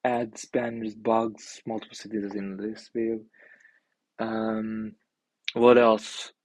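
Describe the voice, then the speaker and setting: A young man from Turkey with a Turkish accent, thinking aloud in halting, filler-heavy speech while he reviews an app on screen. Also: lowish voice, flat delivery, a little mellow, low-quality recording. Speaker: indie maker reviewing an app. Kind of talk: casual screen-share commentary.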